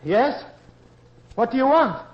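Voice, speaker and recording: A man's voice: extremely high pitch, big pitch swings, slow pace, full character voice. A man playing an ashamed, ragged wanderer come home, contrite and tense, in old-time radio drama style. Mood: nervous, defensive. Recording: muffled lo-fi sound, faint noise.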